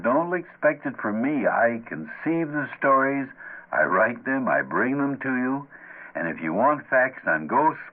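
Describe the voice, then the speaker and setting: A man hosting old-time radio plays with wry, teasing, conversational narration. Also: fairly low pitch. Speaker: host and writer of radio plays. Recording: slightly dull old radio recording.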